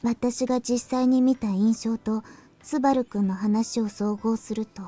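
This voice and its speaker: Actress as analytical visual novel girl: soft mellow tone, fairly high voice, measured and deadpan, music behind. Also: lightly acted. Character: calm, analytical, mellow-voiced young woman. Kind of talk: Japanese visual novel voice acting.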